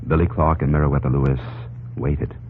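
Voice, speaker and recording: A man's voice: a bass voice, level tone, fairly quick, slight background noise. A man giving dramatic scripted narration for an old-time radio drama. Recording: tinny old radio recording, music behind.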